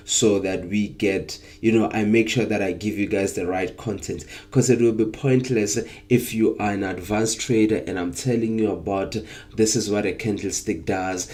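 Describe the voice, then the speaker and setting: A young man hosting a live trading stream, sick with flu so his voice is less clear, upbeat and hyping up his viewers with plenty of ums. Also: fairly low pitch, mellow. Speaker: trading session host.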